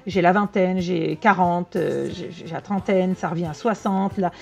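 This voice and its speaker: A woman medium giving a casual reading to her viewers, blunt and slangy, with quick asides. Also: fairly low pitch, creaky. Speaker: tarot and psychic medium. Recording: dull lo-fi sound with faint music.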